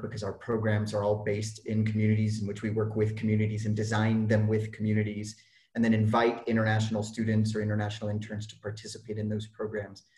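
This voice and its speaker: Thoughtful low-voiced man, echoey room. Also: darkish tone. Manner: thoughtful, measured, restarts phrases. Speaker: organization director. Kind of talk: reflective discussion.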